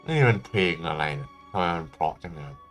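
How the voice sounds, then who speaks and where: A man's voice: extremely low pitch, expressive, very fast, creaky, music in the background. A man chatting casually as he livestreams a video game. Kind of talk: casual livestream chat while playing.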